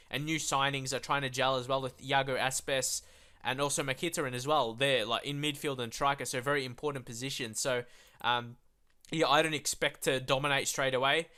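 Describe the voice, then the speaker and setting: Young male gamer, bright tone, stuffy nose, loose chatty commentary. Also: medium pitch, clean tone. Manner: casual rambling with many fillers. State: congested, blocked nose. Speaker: gaming YouTuber. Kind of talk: live game commentary.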